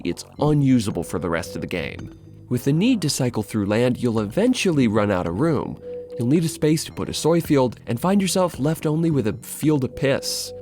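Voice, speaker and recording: A man's voice: mid-range voice, a little mellow. A man narrating a scripted video essay as its host, in a wry, sardonic, casually sweary way.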